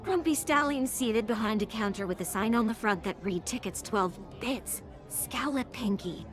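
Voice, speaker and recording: A woman's voice: a little high, normal speed, soft background music. A woman's synthetic text-to-speech voice reading scripted third-person story narration.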